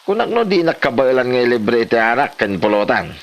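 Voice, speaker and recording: A man acting as an expectant drinking pal in an Ilocano comedy drama. His mid-pitched voice moves up and down in a very lively way, with an Ilocano accent. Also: a little fast, lightly acted. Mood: eager. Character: expectant drinking buddy eager for free liquor.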